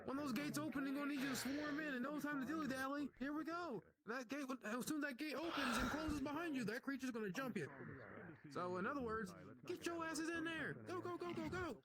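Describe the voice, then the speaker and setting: A man's voice: extremely high pitch, fairly quick. A man playing a commanding guild arena master, barking orders to monster hunters in an improvised role-play. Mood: urgent, commanding. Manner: commanding, drill-instructor phrasing. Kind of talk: improvised in-character announcing. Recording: busy crowd of voices behind. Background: other players chattering.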